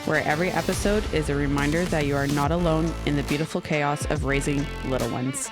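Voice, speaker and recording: A woman with a very low voice reading an upbeat podcast intro. Guitar music plays clearly behind her. Her delivery is bright and read-aloud. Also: fairly even intonation, quick pace. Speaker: podcast co-host.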